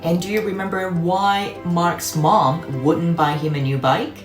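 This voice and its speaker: A woman's voice: lowish voice, fairly even intonation, unhurried. A woman hosting a children's read-aloud, explaining words and putting questions to the listener as she narrates. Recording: roomy echo, faint music behind.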